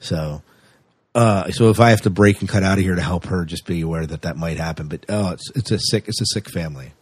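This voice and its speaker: Low, slightly husky man chatting on a podcast. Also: a little fast, no room sound. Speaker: podcast co-host. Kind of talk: casual podcast chat.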